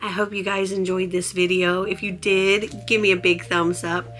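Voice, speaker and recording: A hoarse woman who has nearly lost her voice, chatting in a casual, gushing vlog aboard a cruise ship. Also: mid-range voice, level tone, moderate pace, fairly bright tone. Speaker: vlogger. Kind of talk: casual travel vlog chat.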